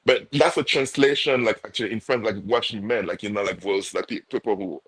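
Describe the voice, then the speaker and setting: A man's voice: lowish voice, a little fast, a bit bright, slightly rough, poor audio. A man whose first language is not English, chatting casually with frequent laughs, searching for words. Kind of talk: casual live chat.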